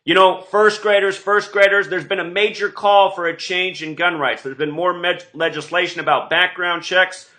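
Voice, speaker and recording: A man's voice: high voice, fairly even intonation, moderate pace, hardly any pauses, pushed and bright. A man hosting an online show, speaking in a rambling, insistent monologue with emphatic delivery. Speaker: online video host.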